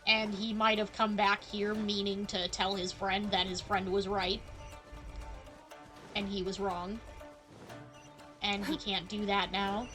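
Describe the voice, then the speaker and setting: A woman's voice: medium pitch, flat delivery, slow pace, long pauses, fairly bright tone. Lady streamer chatting over her game, mid voice, faint music in the back. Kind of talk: casual live gameplay commentary.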